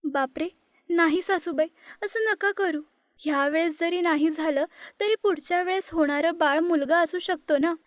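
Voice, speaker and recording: A woman voicing a frightened young pregnant wife in an animated story, with a tearful, pleading way of talking. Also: very high-pitched, moderate pace, no echo, clean studio sound.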